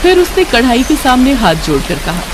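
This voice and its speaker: Expressive woman narrating a storybook. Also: high-pitched, moderate pace, background noise.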